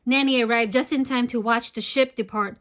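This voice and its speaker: A woman narrating a children's storybook aloud in an expressive read-aloud style. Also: a little high, fairly quick.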